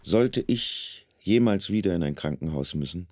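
A man's voice: low-pitched, lively intonation, moderate pace, music in the background. An actor in a radio drama playing a weary, wry stroke patient who recounts his stay in hospital. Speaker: actor narrating in first person.

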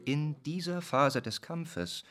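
An actor playing a militant squatter captain in a radio drama, giving formal, methodical instructions with military precision. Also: male voice, on the high side, lively intonation, takes it slowly, fairly bright tone. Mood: stern, instructing.

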